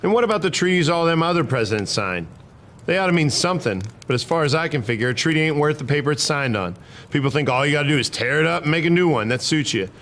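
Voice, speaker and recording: A man's voice: a little high, expressive, fast talker, a bit bright. A man playing a principled, stubborn cavalry private in a historical drama, arguing in folksy frontier speech. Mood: indignant.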